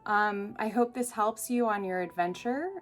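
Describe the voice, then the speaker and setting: A woman's voice: medium pitch, normal speed, soft background music. A woman player retelling advice, hesitant and searching for words with many restarts. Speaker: tabletop game player.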